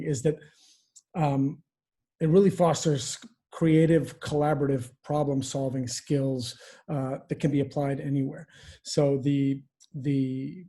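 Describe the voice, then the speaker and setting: Hesitant, mellow-voiced man professor. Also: a little high. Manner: thoughtful, hesitant, many fillers and restarts. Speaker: theater professor. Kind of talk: webinar panel talk. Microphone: video call.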